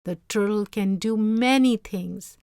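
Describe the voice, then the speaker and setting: A woman's voice: mid-range voice, unhurried, darkish tone, smooth, no room sound, studio quality, natural voice. A woman voicing a humble, henpecked fisherman in a storybook, earnest and plain-spoken. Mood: disbelieving. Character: humble, simple, henpecked fisherman, adult man. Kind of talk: read-aloud storybook character voice.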